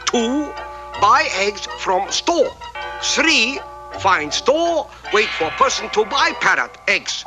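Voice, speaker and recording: A man's voice: high voice, big pitch swings, takes it slowly, exaggerated voice. An actor voicing a polite, clever little male detective sidekick in a 1960s TV cartoon, with clipped broken English and excessive courtesy. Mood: formal. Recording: music bed under dialogue.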